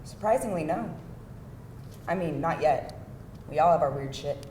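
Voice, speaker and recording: Woman acting wry candid date, animated intonation, pointed emphasis, roomy live recording with faint noise. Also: deep voice, moderate pace, recorded from a distance. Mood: candid, playful. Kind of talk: live screenplay table read. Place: indoor live table-read event.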